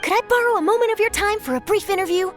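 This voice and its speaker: An eager young reporter from an anime game speaks in an extremely high, perky voice. She is polite, articulate and inquisitive, with music clearly playing behind her. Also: rapid-fire. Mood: eager, professional. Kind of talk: anime video game voice acting.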